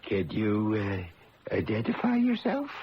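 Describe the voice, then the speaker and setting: A man playing a fussy, obsequious shop dealer in a 1970s radio drama, with a creaky, singsong voice on a muffled recording. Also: on the low side, huge pitch swings, slow, slight background noise, heavily acted. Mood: unctuous. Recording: muffled old radio recording, music behind.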